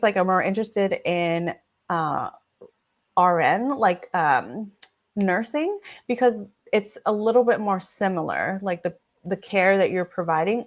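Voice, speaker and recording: A young woman physician from the United States whose first language is Vietnamese, giving chatty, casual advice with lots of filler words. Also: fairly low pitch. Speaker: physician, channel host. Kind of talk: casual advice talk to camera.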